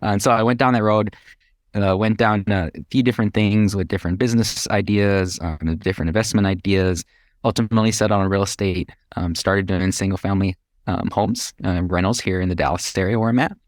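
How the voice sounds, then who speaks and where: American guy, low voice, dry close sound, casually telling his story on a podcast. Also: steady tone, brisk, no room sound. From Hawaii, United States. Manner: conversational, chuckles while talking. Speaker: podcast guest, real estate investor.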